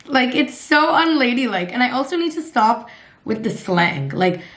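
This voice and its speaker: A woman in her twenties from Canada with a raspy voice, chatting bluntly and casually to her camera, swearing and laughing at herself. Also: on the high side, big pitch swings, moderate pace, fairly bright tone. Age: 20s. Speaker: lifestyle vlogger.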